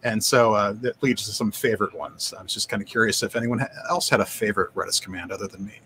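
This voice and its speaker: An older man, hosting a casual live stream in a halting, self-deprecating way. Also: fairly low pitch, pushed and bright, a little hiss or hum. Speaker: host, developer advocate. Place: indoor home room, on a video call.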